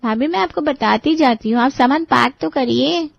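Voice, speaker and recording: A woman's voice: high-pitched, normal speed, creaky, dry recording, muffled recording. A woman playing a spoiled young bride-to-be in a family drama, pleading and sweetly manipulative with her relatives. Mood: demanding, sweet. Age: young adult. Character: wheedling, spoiled young bride-to-be, pampered little sister. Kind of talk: Hindi family drama acting for story video.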